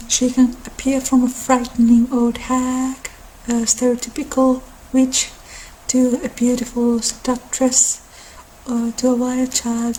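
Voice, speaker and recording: A woman who is not a native English speaker, giving a soft ASMR-style informal lecture in a halting way, as if explaining lore on her own channel. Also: fairly high pitch, slight background noise, poor audio. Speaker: host of a mythology channel.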